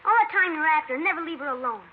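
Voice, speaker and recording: A quick, high-voiced young boy, played by a woman, proud and stubborn, defiant toward the police. He comes through a thin, phone-like old radio broadcast with light hiss. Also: close to an everyday voice. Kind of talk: 1950s radio acting.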